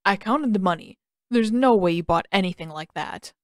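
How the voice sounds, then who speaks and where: A woman's voice: mid-range voice, animated, normal speed, no room sound, studio quality, close to an everyday voice. A woman voicing an eager, cheeky teenage boy, a blunt and pushy brother, in an audio story. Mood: accusing.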